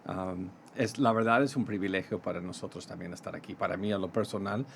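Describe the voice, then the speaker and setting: A middle-aged man with an American accent tinged with Mexican Spanish, speaking as a pastor guest in a radio interview, halting and full of fillers. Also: low voice, steady tone, creaky, a bit bright, a little hiss or hum. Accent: American with Mexican Spanish touches. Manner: halting, many fillers and restarts.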